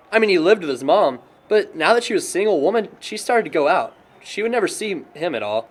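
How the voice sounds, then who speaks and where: Higher-voiced young American actor as a sombre friend. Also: male voice. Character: sombre young friend recounting a classmate's tragic downfall. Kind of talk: conversational film dialogue acting.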